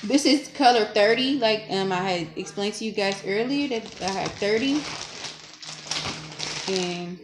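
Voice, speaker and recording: A young woman talking casually through a hair-braiding tutorial at home, chatty and self-teasing, laughing often, recorded on a camera propped low in front of her. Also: unhurried. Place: small dim room at home. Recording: echoey room, faint noise.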